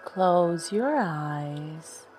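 A woman gently narrating a guided sleep meditation story for children, soothing and unhurried, with long pauses. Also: low voice, expressive. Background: background music.

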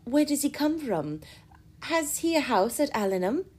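A woman's voice: sits high, very animated, moderate pace, darkish tone, pitched above the performer's own voice. A woman voicing a sensible, composed young woman who asks in a level, slightly raised voice. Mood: inquiring.

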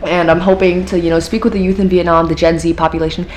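This is a teenage woman with an American accent, chatting casually on her own podcast. Her voice sits low for a young woman, and she rambles with fillers in a friendly, upbeat way. A faint noise hums under the recording. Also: flat delivery, fast, darkish tone. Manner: chatty, filler-heavy, upbeat with frequent laughs. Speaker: podcast host. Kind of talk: casual solo podcast talk.

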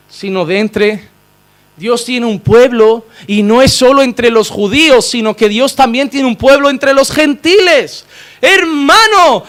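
Pastor guy preaching hard, high voice, gets loud then drops low. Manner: impassioned preaching, swings between shouting and low quiet asides. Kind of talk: sermon.

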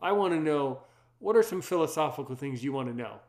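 Brief: man in his 30s, native French speaker, deep earnest voice, reflective casual chat. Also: animated, brisk, darkish tone. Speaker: conversation partner.

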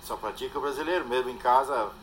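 An older man talks in a casual, halting way, pausing and tagging his sentences with a questioning word. His voice sits at an ordinary pitch for a man. A faint ambient hum runs underneath. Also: fairly bright tone, poor audio. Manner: hesitant, trails off with "né?". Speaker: interview guest. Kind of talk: casual conversation.

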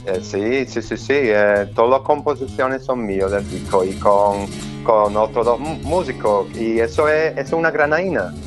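A man from Scotland, with English as his first language, speaks Spanish in a halting way, full of restarts and fillers. His voice sits at an ordinary middle pitch for a man. Soft guitar music plays quietly behind him. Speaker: guest flamenco guitarist. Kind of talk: casual interview.

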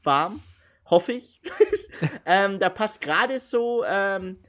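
Dude hosting a podcast, lively, laughs a lot, talks right to the listeners. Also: male voice, high voice, slow. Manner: animated, laughing, addresses listeners. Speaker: podcast co-host. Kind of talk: casual chat. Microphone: home podcast mic.

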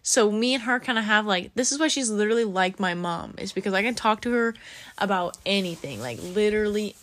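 A woman's voice: medium pitch, brisk, a bit bright. A young woman co-hosting a casual chat, talking about her drive-thru job in a loose, chatty way with lots of fillers. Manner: chatty, lots of "like", acts out quoted voices. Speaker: podcast co-host, drive-thru worker.

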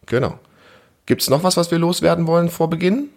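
A man's voice: fairly high pitch, fairly quick, vocal fry. A man in his thirties or forties hosting a casual family podcast, chatting encouragingly and explaining things simply to a child. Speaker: podcast host.

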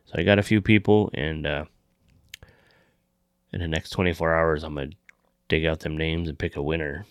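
A man's voice: a bass voice, fairly even intonation, normal speed, clean tone, no room sound, clean studio sound. A man hosting a podcast, chatting casually and making show announcements. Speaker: podcast host.

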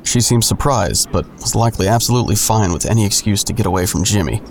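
A man's voice: on the low side, quick pace, slight background noise, lightly acted. An actor playing a sardonic, nosy man who narrates his own misadventures in an audio drama with wry, self-deprecating, crude humor. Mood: wry, confiding. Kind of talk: first-person scripted narration.

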